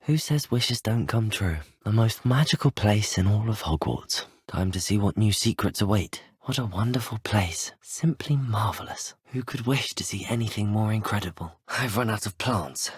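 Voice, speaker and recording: Husky, curious young wizard student. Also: male voice, fairly low pitch, animated, normal speed, hardly any pauses, no echo, clean studio sound. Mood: curious, pleased. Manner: polite, well-spoken, earnest. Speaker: player character in a video game. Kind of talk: video game voice lines.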